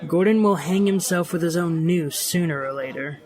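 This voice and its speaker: A man's voice: fairly high pitch, normal speed, darkish tone, natural voice. A man playing a smug, corrupt police chief in an audio drama, slick, dismissive and sure of himself. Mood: scheming, confident. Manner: slick, dismissive, self-assured. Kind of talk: scripted audio drama dialogue.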